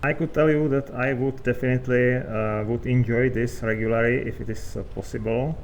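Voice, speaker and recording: A man gaming as the hero, improvising role-play chat in a halting, hesitant way with non-native phrasing. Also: medium pitch, fairly even intonation, slight background noise. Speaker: gamer playing as the hero.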